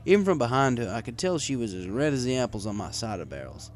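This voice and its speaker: A man's voice: medium pitch, big pitch swings, fast, soft background music. A man reading a first-person story in a wry, conversational way. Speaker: story reader. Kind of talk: first-person story narration with acted dialogue.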